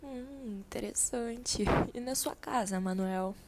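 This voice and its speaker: A woman's voice: a little high, takes it slowly, a little mellow. A woman playing a kindly Brazilian schoolteacher in a scripted amateur radio drama, didactic and encouraging. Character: female schoolteacher, kindly, guiding a classroom discussion.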